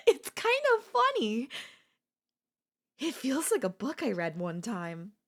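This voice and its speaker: A woman's voice: high-pitched, heavily acted. A woman playing an obsessive, lovestruck and gleefully sinister older sister in an ASMR audio roleplay. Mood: gleeful amusement.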